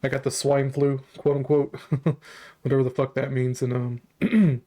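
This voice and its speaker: A man talks to camera in a loose, rambling way, stopping for ums and restarting his sentences, with a bit of leftover congestion and a slight cough. His pitch stays flat, and his tone is soft and mellow rather than bright. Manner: rambling, halting delivery with frequent ums and restarts, casual swearing. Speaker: commentary channel host. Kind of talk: casual reaction commentary to camera.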